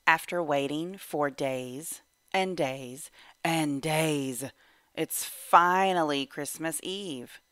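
A woman reading a children's picture book aloud in an animated, measured storytelling manner. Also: deep voice, no echo, studio quality. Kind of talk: expressive children's read-aloud narration.

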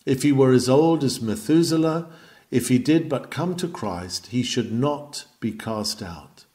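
A man's voice: medium pitch, animated, unhurried, a little mellow. A man reading an old sermon aloud in an emphatic, exhorting manner, with old-fashioned oratory. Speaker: reader of a historical sermon.

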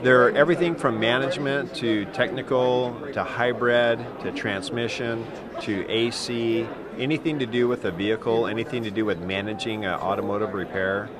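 A man's voice: medium pitch, fairly even intonation, normal speed. A middle-aged man, organizer of the event, interviewed on the show floor, upbeat and full of uh fillers. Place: busy indoor trade show. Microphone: handheld interview mic. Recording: crowd chatter behind.

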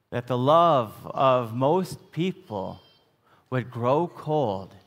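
Slow-paced American pastor in a reverberant church. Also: male voice, mid-range voice. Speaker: Lutheran pastor. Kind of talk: sermon. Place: church sanctuary, at the pulpit.

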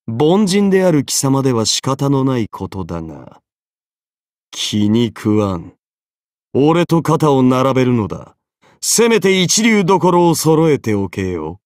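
Casting: an arrogant anime game king, imperious and condescending, slow, deliberate delivery, swinging widely in pitch. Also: big pitch swings, a little mellow, no room sound, clean studio sound. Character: arrogant golden-armored male king, haughty, easily bored. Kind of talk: dramatic anime game character voice acting.

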